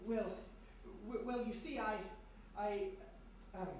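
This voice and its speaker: A man playing a nervous, bashful young embassy bureaucrat in love, with halting speech, in old-time radio style. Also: high-pitched, steady tone, a little mellow. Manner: halting, stammering. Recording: very echoey live room, distant mic, noisy.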